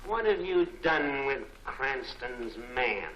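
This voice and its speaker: An actor playing a menacing, imperious male crime boss in a 1940s film serial, speaking deliberately to his henchmen. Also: mid-range voice, very animated, fairly bright tone. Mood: cold, threatening. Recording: echoey, faintly noisy 1940s film soundtrack.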